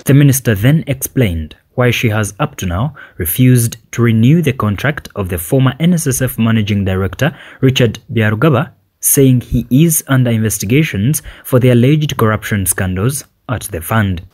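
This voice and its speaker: A man with a Ugandan accent and a husky voice, reading a scripted television news report. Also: medium pitch, animated, moderate pace, darkish tone.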